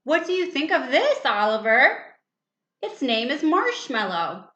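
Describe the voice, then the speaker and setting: A woman voicing a kindly, gently teasing cat owner in a children's storybook, with a coaxing, cooing manner. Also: high voice, very animated, a little slow, echoey room, dull audio, pitched above the performer's own voice, full character voice. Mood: fond. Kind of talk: storybook character voice.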